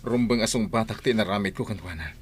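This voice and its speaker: A man's voice: fairly low pitch, fast, slightly rough. A man playing a determined, earnest young employer in a radio drama, with an Ilocano accent. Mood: resolute. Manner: resolute, earnest. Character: determined young gentleman employer defying his mother.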